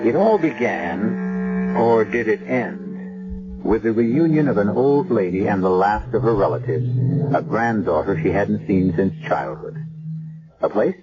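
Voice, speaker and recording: Man, radio mystery host, deliberate dramatic narration, music underneath. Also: fairly low pitch, animated. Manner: measured, deliberate, dramatic pauses. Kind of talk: theatrical scripted narration. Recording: dull old radio recording with music behind.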